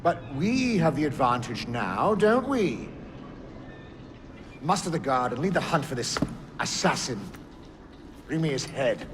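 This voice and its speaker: A man's voice: medium pitch, sing-song, unhurried, long pauses. A man playing a scheming, hot-tempered lord in a video game, with a haughty, commanding delivery. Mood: tense command. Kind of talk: video game cutscene acting. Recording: long hall reverb, noisy ambience.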